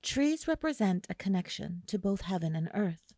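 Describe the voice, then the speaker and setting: A woman guiding a listener through an imagery meditation in a slow, soothing way, with long pauses. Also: medium pitch, big pitch swings, warm tone, dry recording, studio quality. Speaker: meditation guide. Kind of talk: guided imagery meditation narration.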